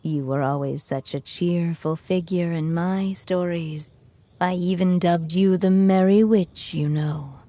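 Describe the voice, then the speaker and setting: A woman voicing a gentle, fading storyteller in a video game, soft and hushed. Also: fairly low pitch, fairly even intonation, measured pace, dry recording, close to an everyday voice. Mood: wistful.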